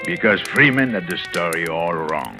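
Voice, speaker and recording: An actor playing a smug, slippery swindler with a suave, sardonic, theatrical manner in an old-time radio drama. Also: male voice, low voice, animated, normal speed. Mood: smug narrating. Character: smug, slippery male swindler, glib and evasive. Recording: vintage radio recording with faint music.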